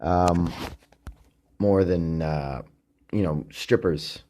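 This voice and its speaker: A man's voice: deep voice, drawn-out words, warm tone, slight background noise. A man hosting his own podcast, talking off the cuff in a rambling, profane way with many restarts. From Canada. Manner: profane, hesitant, full of restarts. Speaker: podcast host. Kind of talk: rambling monologue.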